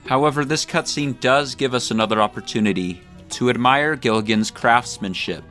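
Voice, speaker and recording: A man's voice: mid-range voice, moderate pace, music in the background. A man hosting a scripted comedic video essay, speaking in a mock-grandiose, tongue-in-cheek way.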